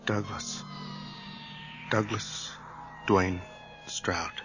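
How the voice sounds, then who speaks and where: A man's voice: lowish voice, fairly even intonation, drawn-out words, over music. An actor playing a fearful, tormented man possessed by his twin in a radio horror drama, hesitant and stammering. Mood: dazed, reluctant. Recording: dull, muffled old radio recording.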